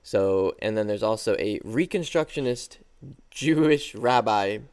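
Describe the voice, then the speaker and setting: A man's voice: on the low side, lively intonation, measured pace, a little mellow, clear, resonant tone. A man co-hosting a podcast, explaining a video in an easygoing, casual way. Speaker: podcast co-host.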